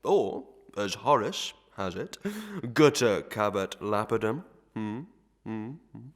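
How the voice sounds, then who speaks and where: A man acts out a self-important, greedy parish clerk in a Victorian audio melodrama. His voice sits fairly low and he takes his time over every phrase. He lectures in archaic proverbs and scraps of Latin, with sly pomposity. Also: expressive, slow. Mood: pompous, lecturing. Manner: pompous, sententious, archaic speech with Latin tags.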